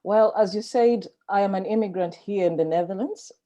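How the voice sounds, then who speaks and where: A woman from Malawi speaking as a panelist at an online conference, measured and reflective, with soft fillers. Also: mid-range voice. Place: home, online video call.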